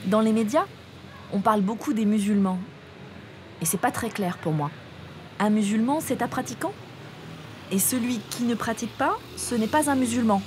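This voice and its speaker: A woman reporter narrating and interviewing people at an outdoor event, calm and clear. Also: on the high side, moderate pace, pauses often, slight background noise. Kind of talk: documentary narration and street interviews.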